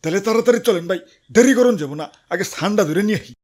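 A woman's voice: mid-range voice, animated, very fast, natural voice. A woman voicing a nervous, eager villager in a comic cartoon, stammering and repeating words in a Bangladeshi accent. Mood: eager, flustered. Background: background music.

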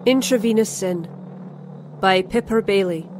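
A woman reading a scripted first-person horror story in a measured, dramatic, literary manner. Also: mid-range voice, darkish tone, slight background noise. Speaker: story narrator.

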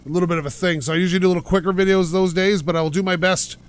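Loud, pushed-out man chatting over a game. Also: high-pitched, very fast, clear, resonant tone. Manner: pushes his voice out loud and energetic, rambling and self-mocking. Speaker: gaming channel host. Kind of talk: casual let's-play commentary. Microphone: home microphone while playing on a couch.

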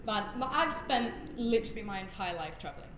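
A British woman in her twenties from northern England telling anecdotes in casual, animated chat as a guest. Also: mid-range voice, fairly quick, echoey room, a little hiss or hum. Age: 20s. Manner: animated, giggly, acts out quoted remarks. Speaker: podcast guest, actor. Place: bright loft-style room indoors, on a sofa. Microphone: handheld microphone.

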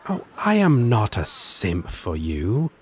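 Slow, low-voiced flirty demon played by a man. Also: huge pitch swings, darkish tone, breathy edge, heavily acted. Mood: playfully defensive. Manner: teasing, halting, dramatic delivery. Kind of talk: ASMR romance roleplay acting.

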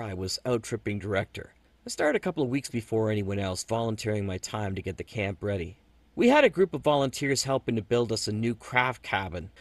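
A man's voice: fairly low pitch, no room sound. A man hosting an audio drama, telling a scripted personal story in radio narration style. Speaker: host and producer.

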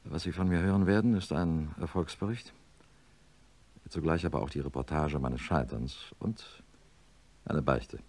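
Weary professor of medicine, middle-aged, low pitch, measured formal delivery, radio drama. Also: male voice, a little mellow, exaggerated voice. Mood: grave, confessional. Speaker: narrator and lead actor. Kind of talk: German radio drama acting.

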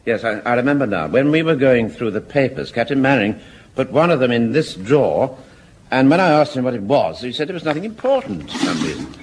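An actor playing a mild, polite, hesitant sergeant in a radio sitcom. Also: male voice, medium pitch, very animated, a little fast, close to an everyday voice. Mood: diffident. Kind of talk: 1970s radio sitcom acting. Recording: old radio recording with hiss.

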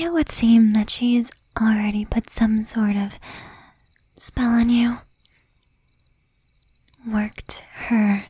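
A woman voicing a jealous, possessive young demon girlfriend in a breathy, intimate whisper with pointed pauses, for an ASMR roleplay. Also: a little high, warm tone, dry recording, full character voice.

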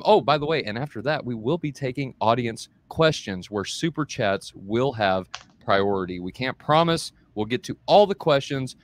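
A man's voice: medium pitch, fairly bright tone. A man in his thirties hosting and moderating a debate on a video call, upbeat and folksy. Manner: upbeat, folksy, says y'all. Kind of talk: casual show hosting. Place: home room with bookshelves. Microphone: headset and desk mic on video call.

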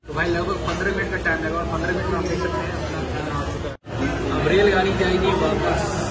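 A man's voice: on the high side, steady tone, fast, loud music behind the voice. A young man giving casual food vlog commentary in a busy restaurant. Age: young adult. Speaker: food vlogger. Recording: noisy, echoey, crowded restaurant. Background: loud crowd chatter and ambient noise.

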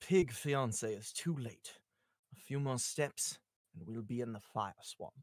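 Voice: medium pitch, measured pace, no echo, studio quality, voice pushed down, close to an everyday voice. A performer at an amateur table read voicing a jaunty, nonchalant young adventurer hero in a breezy, theatrical way. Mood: breezy defiance.

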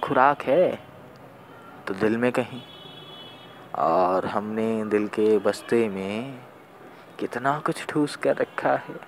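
A young man reciting spoken-word poetry in a deliberate, dramatic manner, with weighted pauses and repeated phrases. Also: fairly low pitch, a little hiss or hum. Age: young adult. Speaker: student poet.

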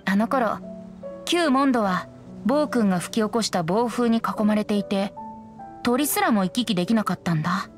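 A woman voicing a youthful, boyish wind spirit bard in an anime game, gentle and wistful, with lyrical storytelling phrasing. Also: mid-range voice, normal speed, faint music behind, lightly acted. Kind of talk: anime video game voice acting, poetic narration.

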